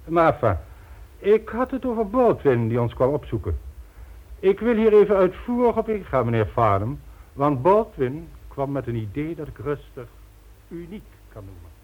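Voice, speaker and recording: An actor in a radio play voicing a suave, ruthless criminal mastermind, smug and polished, coolly condescending. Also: male voice, a little high, sing-song, normal speed. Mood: smug, expansive. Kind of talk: Dutch radio play acting. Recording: dull lo-fi old radio recording.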